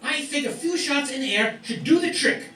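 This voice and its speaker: A man's voice: extremely high pitch, animated, moderate pace, sharp tone, full character voice. A man playing an eager, trigger-happy sidekick in an old-time radio detective spoof, keen and clipped. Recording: echoey room, distant mic.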